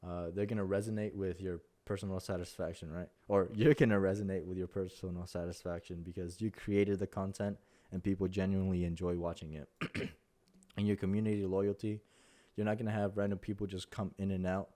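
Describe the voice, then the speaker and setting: A man's voice: low-pitched, level tone, a little fast, warm tone. A young Mexican man with a Spanish-accented voice, giving casual advice as a content creator, rambling with many fillers and restarts. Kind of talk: casual talking-head advice.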